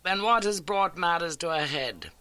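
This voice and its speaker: A man voicing a brisk, courteous gentleman detective in an audiobook, questioning in precise, formal phrases. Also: fairly high pitch, animated, no room sound, voice pushed up. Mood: brisk, inquisitive. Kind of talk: audiobook character acting.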